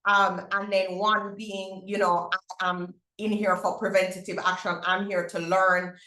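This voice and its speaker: Upbeat middle-aged Jamaican woman hosting a webinar, mid-pitched, echoey room. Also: little pitch movement, a little slow, pushed and bright. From Barbados. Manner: upbeat, with frequent fillers and restarts. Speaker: webinar host. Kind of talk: webinar info session.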